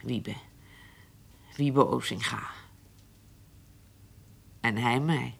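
A woman's voice: extremely low pitch, very slow, vocal fry, breathy edge, heavily acted. An actress playing a guarded, weary middle-aged mother who keeps her secrets, in a Dutch radio play. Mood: reluctant, wistful.

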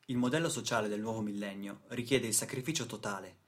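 A man acting as a sardonic, indignant storyteller in a radio theatre monologue, with a husky voice and rhetorical delivery. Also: lowish voice, fast, natural voice. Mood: dry irony. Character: sardonic, indignant male storyteller attacking exploitation. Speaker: stage and radio actor.

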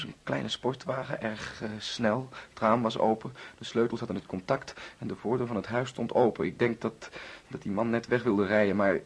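A husky young man in a radio play, tormented by guilt and confessing haltingly. His words repeat and catch, with an intense, accusing edge, and the sound is unclear and raspy. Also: medium pitch. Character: anguished young man confessing to causing his friend's death. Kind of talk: radio drama acting.

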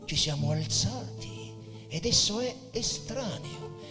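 Weary poet over music, echoing hall. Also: male voice, sits high. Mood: melancholy, intense. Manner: theatrical, measured, expressive phrasing. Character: weary, dreamy male poet near death, courteous and melancholy. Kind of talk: literary stage monologue and narration. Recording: reverberant hall with music behind.

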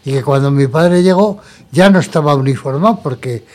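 Older man, 70s, from Murcia; interview guest, measured, broken phrasing. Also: fairly high pitch, a little mellow. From Murcia, Spain. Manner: measured, pausing between short phrases. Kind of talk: conversational testimony.

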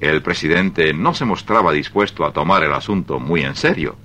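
A male narrator tells a scripted story for radio theatre. His voice is low with a bright, forceful edge. The delivery is animated, moving widely in pitch. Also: normal speed.

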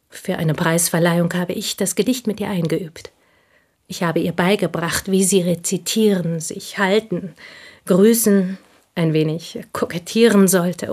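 An actress playing a plain, bitter woman in a radio play, confiding old envy in an intense, intimate way that often slips into breathy whispers. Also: fairly low pitch, a little mellow. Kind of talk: German radio play dialogue, intimate monologue.

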